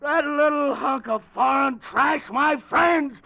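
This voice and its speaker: An actor playing a paranoid, hysterical man who rages with a gravelly, strained voice in a 1940s radio drama. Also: extremely high pitch, slow pace, full character voice. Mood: raging. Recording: dull old radio recording.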